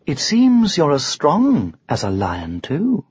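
A man voicing a sly, practical woman witch who speaks in a calm, coaxing way, in a storybook. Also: medium pitch, slow pace, husky, dry recording, highs cut off, exaggerated voice. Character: sly, practical female witch, calmly encouraging.

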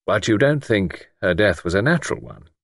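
A wry gentleman sleuth, as a male narrator plays him, with highly animated intonation and a slightly husky edge. He is patient but persistent, probing directly as in a detective storybook. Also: normal speed, no echo, clean studio sound. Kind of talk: audiobook character dialogue.

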